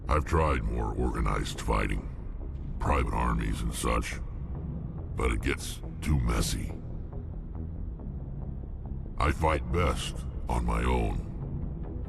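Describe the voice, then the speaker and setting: A man playing a gruff, cynical alien mercenary warrior in a video game, blunt and jaded, with a gravelly rumble. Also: full character voice. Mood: matter-of-fact. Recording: loud music behind the voice.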